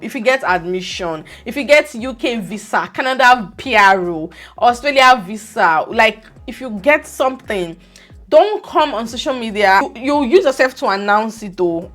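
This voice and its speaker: A young woman from Nigeria with a Nigerian accent, talking to her viewers in a casual, animated and scolding vlog monologue. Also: medium pitch. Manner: animated, scolding, pidgin words mixed in. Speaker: vlogger.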